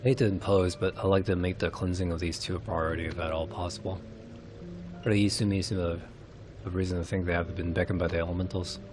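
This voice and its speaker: A man's voice: sits low, little pitch movement, fast talker, over music. A man reading fantasy game dialogue aloud in character, with a formal, theatrical delivery. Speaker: streamer narrating game story.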